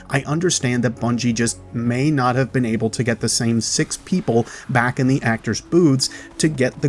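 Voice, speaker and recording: A man hosting a video, giving chatty, explanatory commentary as a voice-over about game lore. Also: mid-range voice. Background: music.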